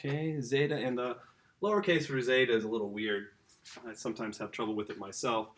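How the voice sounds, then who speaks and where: A middle-aged man teaching a casual video lesson, relaxed and chatty, correcting himself as he writes on a board. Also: medium pitch, a little fast.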